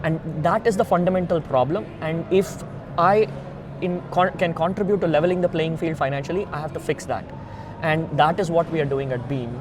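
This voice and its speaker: A man from India with an Indian accent, a founder being interviewed, explaining emphatically step by step in earnest. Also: fairly quick. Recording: noisy outdoor ambience with traffic rumble.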